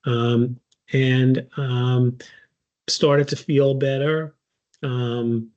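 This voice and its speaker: A man in his sixties tells his own patient story in a casual, unhurried way, with many pauses and fillers. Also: medium pitch, little pitch movement, darkish tone, muffled recording. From United States. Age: 60s. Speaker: patient advocate. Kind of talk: personal story, casual talk.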